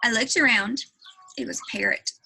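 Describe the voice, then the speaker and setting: A woman's voice: a little high, normal speed, vocal fry, sharp tone, husky. A woman reading a children's book aloud as a teacher would, telling the story as narrator.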